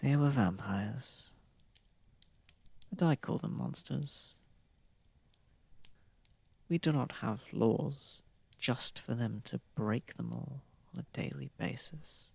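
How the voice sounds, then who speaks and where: A man voicing a gentle, courtly old vampire in an ASMR roleplay, speaking softly and tenderly, often close to a whisper. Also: mid-range voice, no room sound, exaggerated voice. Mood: grave, earnest. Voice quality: soft, breathy, slightly gravelly, often near whisper. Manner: tender, polite, unhurried, soothing. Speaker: ASMR voice actor. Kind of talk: ASMR roleplay, soft intimate acting. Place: close, dry recording. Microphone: close microphone.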